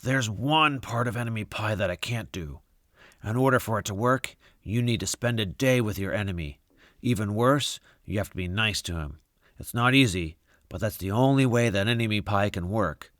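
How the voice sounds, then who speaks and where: A calm, wise storybook dad, voiced by a man, talks quietly and carefully. His lowish voice has a conspiratorial air. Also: dry recording, clean studio sound, close to an everyday voice. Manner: quiet, measured. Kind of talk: storybook character voice.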